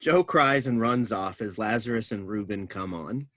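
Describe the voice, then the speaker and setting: A man's voice: on the low side, moderate pace, fairly bright tone. A man reading stage directions as scripted narration at a staged play reading.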